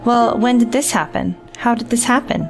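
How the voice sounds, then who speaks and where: A woman's voice: fairly high pitch, moderate pace, music in the background, voice pushed up, lightly acted. A woman voicing a sardonic, burned-out male tech support worker who rants in a mock-helpful, exasperated way.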